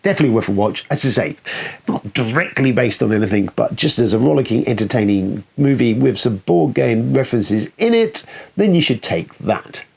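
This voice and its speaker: A man's voice: mid-range voice, very animated, a little fast, creaky, a little mellow, slight background noise. A man hosting a film review, talking casually in an enthusiastic, rambling, sweary way. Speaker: host of a film review show.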